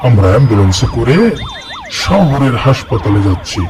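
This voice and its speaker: A man voicing an earnest young village boy, narrating a cartoon in the first person with a lively, repetitive telling. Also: mid-range voice, moderate pace, natural voice. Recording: cartoon voice-over with loud music. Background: background music.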